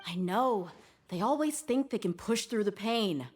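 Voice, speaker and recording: A woman's voice: medium pitch, expressive, a little slow, a little hiss or hum, natural voice. A woman playing an easygoing, practical young fighter in a video game, with a frank, casual manner. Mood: concerned. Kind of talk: video game character acting.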